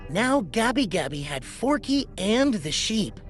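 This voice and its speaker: Expressive woman reading a storybook over music. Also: mid-range voice, a little slow. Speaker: storybook narrator. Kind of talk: scripted children's read-along narration. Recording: music behind the voice.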